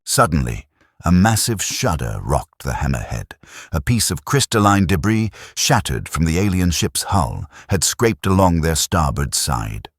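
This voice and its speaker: A man narrating a science fiction audiobook with dramatic, expressive storytelling. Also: rumbling low, measured pace, no room sound, clean studio sound.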